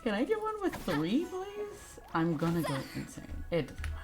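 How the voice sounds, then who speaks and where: A woman's voice: mid-range voice, wildly swooping pitch, moderate pace. A woman game streamer giving casual, irreverent, self-mocking live commentary with some swearing. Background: faint game music.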